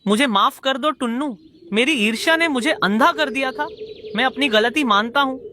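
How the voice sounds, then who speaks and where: Man voicing a bright, high-pitched scheming crow. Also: normal speed, a little hiss or hum. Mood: remorseful. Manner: boastful, declamatory. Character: jealous scheming male crow villain, boastful. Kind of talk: Hindi kids' cartoon dialogue.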